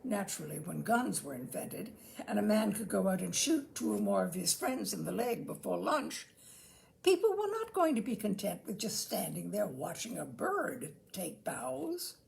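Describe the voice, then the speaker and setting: A woman giving an expressive, theatrical reading of a humorous essay, with measured phrasing and dramatic pauses. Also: medium pitch, vocal fry, a little hiss or hum. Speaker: reader of literary humour.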